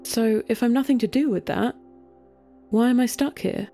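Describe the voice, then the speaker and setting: A woman's voice: fairly high pitch, normal speed, vocal fry, dark tone, faint music behind. A woman voicing a confused, lonely ghost in a story, plaintive and questioning. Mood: bewildered.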